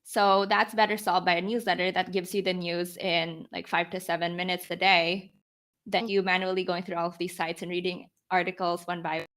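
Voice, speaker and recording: A woman's voice: lowish voice, flat delivery, fairly quick, fairly bright tone. A woman of about twenty from the Philippines, explaining her thinking conversationally as a startup founder in a podcast interview. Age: around 20. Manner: explanatory, talks through rhetorical questions and examples.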